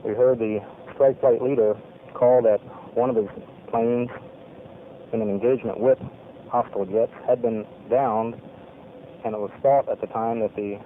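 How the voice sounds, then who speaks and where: Navy pilot's tinny archival debrief. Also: male voice, medium pitch, slight background noise. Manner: measured, clipped military phrasing. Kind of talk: formal spoken mission debrief. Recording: tinny lo-fi archival radio recording.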